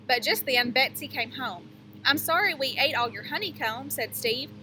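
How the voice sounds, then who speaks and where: A woman teacher reading a picture book aloud to young children, pausing to explain things and ask them questions. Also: high voice, expressive, pushed and bright, a little hiss or hum.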